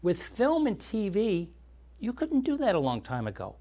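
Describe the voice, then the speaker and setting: A man's voice: sits high, wildly swooping pitch, moderate pace, warm tone. A man hosting a classic movie show, chatting with and interviewing young guests in an explanatory, friendly way. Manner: friendly, explanatory, asks follow-up questions. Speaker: host.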